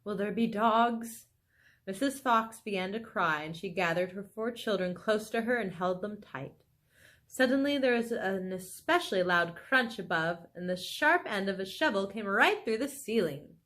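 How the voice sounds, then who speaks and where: A woman reading a children's story aloud in a dramatic, expressive way. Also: medium pitch, moderate pace. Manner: animated, dramatic, with exclaimed asides to listeners. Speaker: storybook reader.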